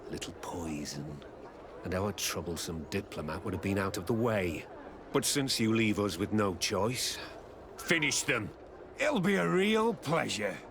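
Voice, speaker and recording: An actor playing a scheming, corrupt male lieutenant in a video game, sly and lively. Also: on the low side, measured pace, noisy background. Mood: menacing.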